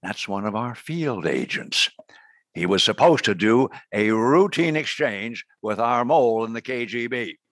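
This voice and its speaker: A man playing a commanding American intelligence director in a radio drama, authoritative and deliberate. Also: medium pitch, expressive, a bit bright, dry recording. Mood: measured, intent. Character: brisk male intelligence agency director, commanding boss.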